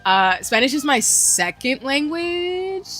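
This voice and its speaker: A woman's voice: sits high, sing-song, slow pace, pushed and bright, faint music behind. A young adult woman streaming a game, rambling casually to her viewers with lots of asides and fillers. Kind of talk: casual chat with stream viewers while gaming.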